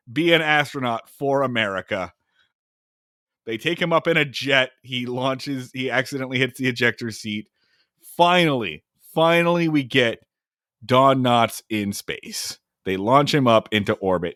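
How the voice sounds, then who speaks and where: A man's voice: mid-range voice, no echo, clean studio sound. A man co-hosting a podcast, casually recapping an old comedy film and acting out the scenes in silly voices. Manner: animated, acts out scenes in funny voices, swears. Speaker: podcast co-host.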